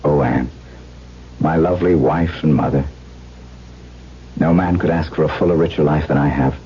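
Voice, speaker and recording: An actor playing a brooding, haunted aristocratic husband in a 1970s radio drama, speaking formally and earnestly. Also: male voice, rumbling low, steady tone, moderate pace, slightly rough, exaggerated voice. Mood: tender. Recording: dull vintage radio broadcast, music behind.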